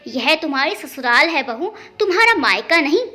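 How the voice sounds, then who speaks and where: A woman's voice: high-pitched, sing-song, moderate pace, bright, forceful tone, heavily acted. A woman storyteller voicing an older, venom-tongued mother-in-law who scolds and accuses. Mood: scolding.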